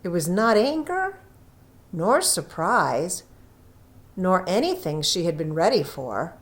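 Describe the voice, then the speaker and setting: Slow, highly expressive woman storyteller. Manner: unhurried, dramatic emphasis with drawn-out phrases. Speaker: audiobook reader. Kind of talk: expressive scripted storytelling narration.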